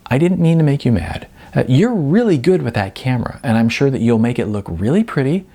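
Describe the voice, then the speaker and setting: A man's voice: mid-range voice, sing-song, brisk, mellow, pitched above the performer's own voice. A man voicing a timid, apologetic young woman with a hesitant, stammering manner in an audiobook. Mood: apologetic, placating. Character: Jasmine, timid young woman, apologetic, candid, eager to smooth things over. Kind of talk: audiobook character voice.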